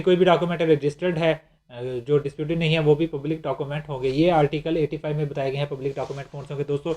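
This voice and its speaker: Higher-pitched Pakistani man giving a lecture. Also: a little mellow. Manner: didactic, repeats and rephrases points. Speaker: law lecturer. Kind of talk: explanatory lecture, reading out legal clauses and explaining them.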